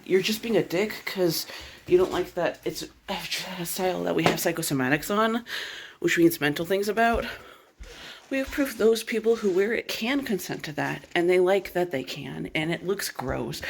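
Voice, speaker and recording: A man's voice: high voice, vocal fry, slightly rough. A man chatting casually to his vlog in a rambling, blunt way that slips into swearing. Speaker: vlogger. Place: bedroom at home. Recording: noisy home recording with clicks.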